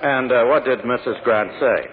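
A man's voice: medium pitch, normal speed, natural voice. An actor playing a fussy, officious prosecuting attorney in old-time radio drama, hemming and prodding as he questions. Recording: tinny, noisy old radio recording, reverberant hall.

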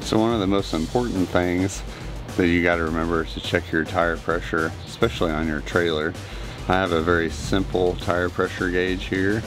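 Very deep-voiced man giving tips. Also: moderate pace, music in the background. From Indiana. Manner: relaxed, matter-of-fact. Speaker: RV vlogger. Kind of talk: casual how-to tips to camera. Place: outdoors at an RV campsite. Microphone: handheld camera close up.

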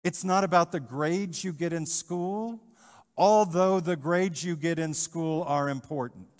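A middle-aged male pastor preaching a sermon in a church, animated, with rhetorical questions and repetition. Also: a little high, unhurried. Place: church sanctuary, in front of the altar. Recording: church livestream with long reverb.

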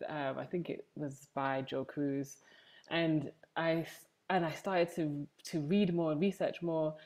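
A woman's voice: deep voice, steady tone, slow pace, a little mellow. A young woman with an English accent, giving a conversational personal account as an interview guest on a video call. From Manchester, England. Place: room at home, indoors, on a video call. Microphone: wired earphones on a video call.

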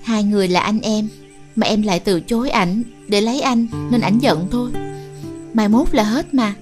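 Woman playing a gentle, reassuring young bride-to-be. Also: medium pitch, normal speed, lightly acted. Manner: soothing, understanding. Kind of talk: animated fairy-tale voice acting. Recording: music clearly behind the voice.

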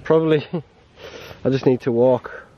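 An English man in his thirties talking to camera outdoors on a windy mountain, subdued and halting with many restarts. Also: medium pitch, muffled recording. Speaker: hiking vlogger. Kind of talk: casual talk to camera. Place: outdoors on a windy winter mountain, sheltering behind a stone wall. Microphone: camera held close at arm's length. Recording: wind noise on the mic outdoors.

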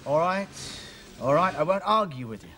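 An actor playing a brusque middle-aged factory boss, blunt and sarcastic. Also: male voice, fairly high pitch, expressive, normal speed, sharp tone, slight background noise. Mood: stern. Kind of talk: 1980s TV soap acting. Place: factory floor TV set.

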